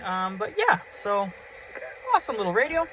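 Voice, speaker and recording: A man's voice: high voice, very animated, moderate pace, sharp tone, slight background noise, low-quality recording. A man giving a casual, chatty, enthusiastic show-and-tell as a hobbyist vlogger. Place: in and around a pickup truck.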